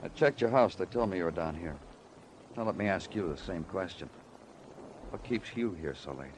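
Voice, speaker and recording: An actor playing a brooding, sardonic police detective in an old-time radio crime drama, terse in questioning and somber in narration. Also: male voice, deep voice, animated, moderate pace, noisy background. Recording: vintage radio recording with musical underscore.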